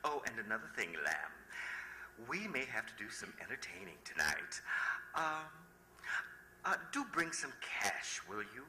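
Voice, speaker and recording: A man's voice: medium pitch, very animated, a little slow. An actor playing a fussy, affected man in 1940s radio comedy-drama, theatrical and coaxing with pet names. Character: fussy, flamboyant, affected male photographer friend. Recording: noisy old radio recording.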